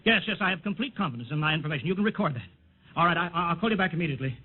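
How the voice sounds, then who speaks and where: An actor playing a steady male officer in a 1950s radio drama, talking in a calm, reassuring way. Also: on the high side, racing, narrow, tinny audio, a little hiss or hum, natural voice. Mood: firm. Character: steady male officer running a rocket test. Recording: thin 1950s radio transcription.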